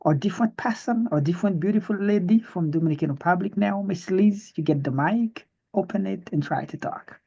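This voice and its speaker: A man's voice: sits high, fairly quick, creaky, few pauses, warm tone, slightly rough. A man whose first language is Haitian Creole, an English teacher hosting an online class, heaping praise on students with stumbling restarts. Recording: muffled online call audio.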